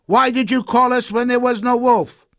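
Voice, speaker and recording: A man's voice: very high-pitched, steady tone, normal speed, a bit bright, voice pushed up. A man voicing angry, stern villagers in a storybook, scolding with emphatic reproach.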